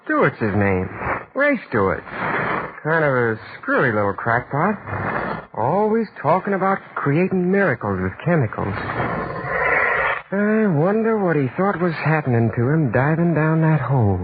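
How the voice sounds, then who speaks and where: Lively high-voiced slangy college student. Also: male voice, a little slow. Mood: dismissive, puzzled. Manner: slangy, colloquial. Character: young male college student, wondering and dismissive. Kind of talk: 1940s radio acting. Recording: narrow-band, noisy 1940s radio recording.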